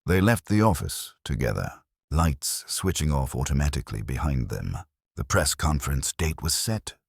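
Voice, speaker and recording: A man reading a scripted, dramatic first-person story in a measured, deliberate manner. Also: rumbling low, very animated, creaky, breathy edge, no echo, clean studio sound. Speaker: story narrator.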